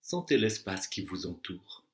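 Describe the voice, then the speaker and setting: A man teaching meditation, guiding a deep relaxation with slow, deliberate instructions and long pauses. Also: big pitch swings. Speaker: yoga nidra and meditation teacher. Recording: echoey room sound.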